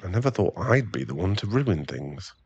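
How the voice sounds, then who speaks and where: A man playing a remorseful, tender boyfriend and expectant father in an intimate ASMR roleplay, speaking softly in halting, stammered phrases. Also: low voice, big pitch swings, vocal fry, slightly rough, no room sound, lo-fi sound, exaggerated voice. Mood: remorseful, earnest. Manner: halting, stammering, soft-spoken apology.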